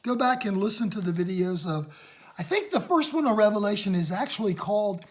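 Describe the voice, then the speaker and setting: A woman with a raspy voice teaching a casual Bible study at home, talking with excitement and emphasis into a phone. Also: mid-range voice, a little fast.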